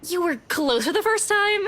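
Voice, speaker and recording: Brief: a sharp, teasing young office worker; high, very lively voice. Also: female voice, heavily acted. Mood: playful. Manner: teasing, brisk, quick to laugh. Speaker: office employee. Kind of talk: voiced visual novel acting.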